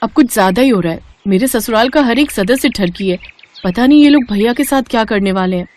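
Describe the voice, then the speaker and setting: A woman's voice: a little high, animated, a little fast, a little mellow, natural voice. A woman voicing a naive young bride in a cartoon, earnest and flustered. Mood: exasperated. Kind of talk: cartoon dubbing.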